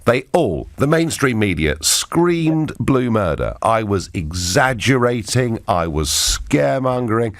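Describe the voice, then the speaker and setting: A man's voice: mid-range voice, big pitch swings, measured pace, hardly any pauses, no echo. A middle-aged man hosting a radio phone-in show, talking in an emphatic, opinionated way with wry asides. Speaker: radio talk show host.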